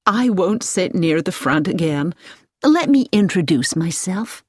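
Woman voicing a middle-aged American lady, pleasant voice, chatty and curious, unhurried, dry close sound. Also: on the low side, big pitch swings, a little mellow, breathy edge, dry recording, heavily acted. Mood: friendly. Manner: slow, inquisitive and sociable.

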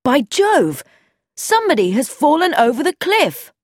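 Woman doing an excited young man's voice. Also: high-pitched, unhurried, no room sound, studio quality, pitched above the performer's own voice. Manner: excited, raised voice. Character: eager young man, golfer, about 28.

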